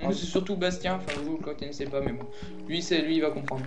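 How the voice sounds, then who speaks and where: A man's voice: a little high, fast talker. A young man from France chatting casually while playing a game, teasing his partner and swearing in jest. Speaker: gamer, co-host of a Minecraft video. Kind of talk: casual gaming chat. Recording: echoey room with game music.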